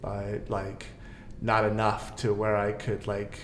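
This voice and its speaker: A man's voice: fairly low pitch, completely flat delivery, slow pace, slight background noise. A man chatting casually as a podcast guest host. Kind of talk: casual chat.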